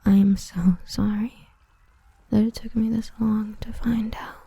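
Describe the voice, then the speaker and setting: A woman playing an obsessive, protective villain with destructive powers, whispering softly and possessively into a close mic in an ASMR roleplay. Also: mid-range voice, flat delivery, measured pace, creaky, breathy edge, no echo, full character voice. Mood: soothing, possessive.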